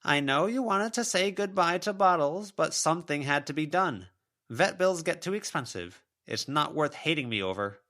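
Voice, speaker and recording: A man's voice: a little high, lively intonation, normal speed, sharp tone, voice pushed up. A man voicing the ghost of an older woman, pleading, aggrieved and full of excuses, in an audiobook. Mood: aggrieved, self-justifying.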